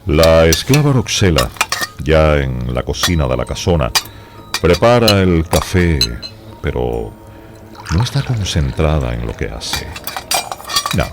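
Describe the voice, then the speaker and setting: A man's voice: very deep voice, sing-song, slow, pauses often, heavy background noise. A man narrating a radio drama from a script in a dramatic style. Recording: music behind the voice.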